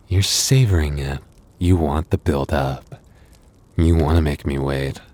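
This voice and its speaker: A man playing a very deep-voiced werewolf boyfriend.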